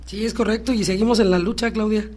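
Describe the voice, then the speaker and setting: A Mexican woman with a husky voice, a community leader talking deliberately as an interview guest and repeating words for emphasis. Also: medium pitch, steady tone, mellow. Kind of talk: conversational interview talk.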